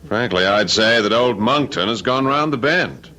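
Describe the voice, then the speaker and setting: A man's voice: mid-range voice, expressive, moderate pace, sharp tone. An actor in an old radio drama playing a flippant, gossipy embassy man with drily exasperated, emphatic delivery. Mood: amused disdain.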